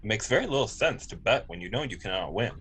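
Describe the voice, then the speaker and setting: A man's voice: fairly low pitch, sing-song, fast, a bit bright, slightly rough, full character voice. A man playing a logical, naive android officer with precise, formal wording in an amateur sci-fi script reading. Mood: puzzled. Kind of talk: amateur table read of TV script.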